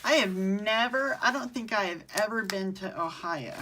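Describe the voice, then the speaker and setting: Bright-voiced Southern woman demonstrating crafts. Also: medium pitch, lively intonation, normal speed, slight background noise, low-quality recording. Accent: Southern American. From southern United States. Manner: chatty, talks to viewers and answers their comments. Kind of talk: casual live craft tutorial.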